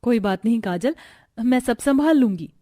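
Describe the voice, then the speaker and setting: Woman voicing a high-pitched young cartoon bride. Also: lively intonation, moderate pace, dark tone, no room sound. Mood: obliging. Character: cheerful young new bride, grateful, marvelling at her home. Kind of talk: Hindi cartoon story voicing.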